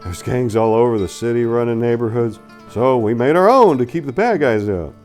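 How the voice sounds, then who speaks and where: A man voicing a soft-spoken, friendly giant of a young man who is protective and earnest, in a story reading. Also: mid-range voice, expressive, moderate pace, soft background music, lightly acted.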